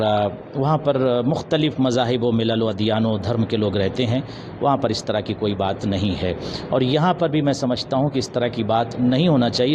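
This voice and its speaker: A man answering an interview in a measured, formal, preaching manner, pausing and mixing in English words. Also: mid-range voice. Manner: measured, formal Urdu-laced diction with pauses and some English words. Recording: noisy outdoor urban street recording with traffic. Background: loud street ambience and vehicles.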